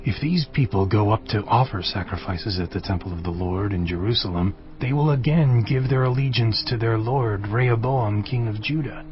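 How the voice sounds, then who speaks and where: A man's voice: lowish voice, normal speed, hardly any pauses, muffled recording, lightly acted. An actor playing an anxious, commanding king of ancient Israel, emphatic and theatrical, in a dramatised audio Bible. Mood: anxious scheming.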